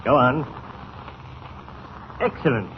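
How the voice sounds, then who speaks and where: A masked criminal mastermind from a 1940s radio serial. He is formal and measured, with suave, calculating menace. The sound is dulled, with faint noise behind it. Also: medium pitch, lively intonation, highs cut off. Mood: smug, controlled.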